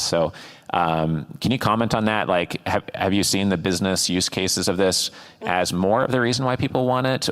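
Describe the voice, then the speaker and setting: A man with a very deep voice hosts a fireside chat, asking long, winding questions full of fillers. His speech is loose and conversational. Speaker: interviewer and host.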